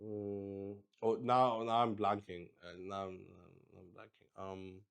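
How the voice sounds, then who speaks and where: A man's voice: on the low side, level tone, takes it slowly, smooth. A man hosting a game in casual improvised chat, thinking aloud and swearing with exclamations. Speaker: solo roleplay player and host.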